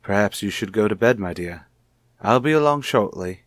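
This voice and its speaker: A man's voice: on the low side, lively intonation, normal speed, no room sound, studio quality, close to an everyday voice. A man playing a suspicious husband in an old-time radio soap, speaking with formal, pointed politeness. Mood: coolly solicitous. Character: suspicious, coolly courteous husband, probing his wife.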